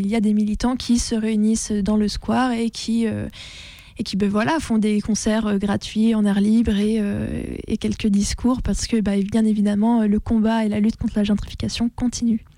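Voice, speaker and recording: A woman's voice: fairly high pitch, vocal fry, warm tone, dry recording. A woman co-hosting a live radio show in a casual presenting style, recorded in a studio.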